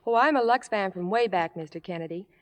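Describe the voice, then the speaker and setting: A woman's voice: mid-range voice, quick pace. Rehearsed woman guest on 1950s radio. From Hollywood, California. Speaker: guest endorsing sponsor. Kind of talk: scripted 1950s radio interview.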